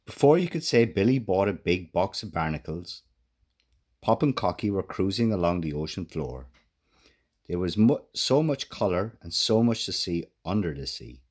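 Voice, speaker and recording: A man reading a children's storybook aloud as an expressive narrator. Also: sits low, normal speed. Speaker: author reading own children's book.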